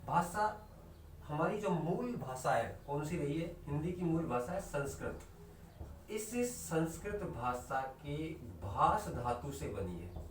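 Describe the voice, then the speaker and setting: Hindi teacher lecturing in an echoey room. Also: male voice, a little high, very animated, a little hiss or hum. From India. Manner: asks questions and answers them himself, repeats key terms; slows right down to stress definitions. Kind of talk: online class lecture. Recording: echoey room recorded from a distance.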